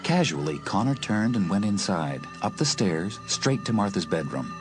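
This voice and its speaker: A male narrator with a low, deep voice reads a suspense story as scripted audiobook narration. Music plays clearly behind him. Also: big pitch swings, moderate pace. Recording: music under the voice.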